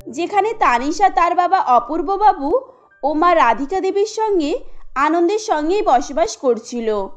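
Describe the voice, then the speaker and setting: A woman narrating a cartoon story as a scripted storyteller. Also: very high voice.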